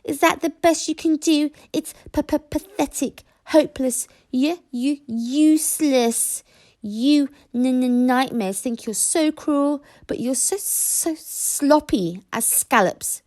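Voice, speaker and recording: A woman voicing a small, grumpy young male dragon who stutters in a high, whiny voice. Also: takes it slowly, a little mellow. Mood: jeering.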